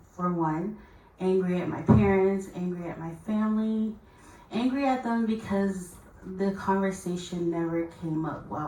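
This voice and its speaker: A woman's voice: fairly low pitch, a little mellow, a little hiss or hum. A woman from Hawaii speaks earnestly and conversationally on a panel, often saying "you know.". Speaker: youth panelist. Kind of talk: panel answer. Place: conference room. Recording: echoey conference room from far off.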